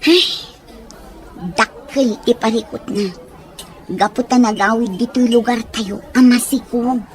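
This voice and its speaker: A woman's voice: a little high, unhurried, a little hiss or hum, lightly acted. A woman playing an outspoken, concerned best friend in an audio soap drama, pressing for answers emphatically. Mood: guarded, confiding. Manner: emphatic, probing.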